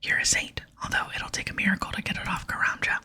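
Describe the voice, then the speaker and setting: A woman's voice: fast, pushed and bright. A woman voicing a wheedling, rum-loving old male pirate from a game in a lilting whisper. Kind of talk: whispered reading of game dialogue. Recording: close whisper with music behind.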